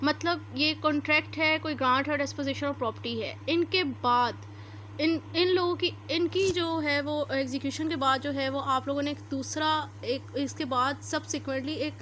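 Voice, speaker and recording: High, bright-voiced Pakistani woman lecturing. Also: slight background noise. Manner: didactic, repetitive step-by-step explaining, code-switching into legal English terms. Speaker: law lecturer. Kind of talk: explanatory lecture, alternating read-out statutes with explanation.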